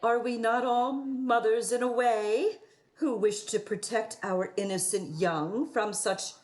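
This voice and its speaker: A woman's voice: on the high side, takes it slowly. A woman playing a scheming, prim widow in a radio play, with a florid, sanctimonious and affected delivery. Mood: flustered, wistful. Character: scheming widow posing as prim, melodramatic moral crusader. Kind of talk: theatrical radio play acting.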